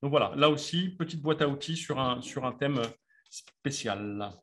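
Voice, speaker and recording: A man's voice: medium pitch, animated, normal speed, a bit bright, a little hiss or hum. A man in his fifties hosting a webinar, presenting a card game casually, with many hesitations and fillers. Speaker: webinar host presenting games. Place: office room with table. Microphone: desk camera mic on video call.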